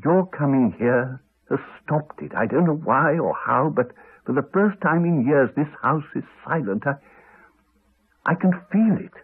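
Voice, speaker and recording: An actor playing a haunted man in a 1980s radio drama, telling his story in a hesitant, halting, subdued way. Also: mid-range voice, expressive, slightly rough. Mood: earnest, reassuring. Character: Alex, haunted man haltingly recounting a horror. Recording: muffled phone-like archive radio recording.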